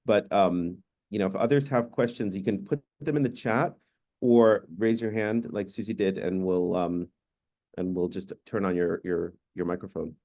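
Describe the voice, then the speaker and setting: Low, dark-voiced man moderating a panel. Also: steady tone, normal speed. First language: Hungarian. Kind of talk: online panel discussion. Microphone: video call.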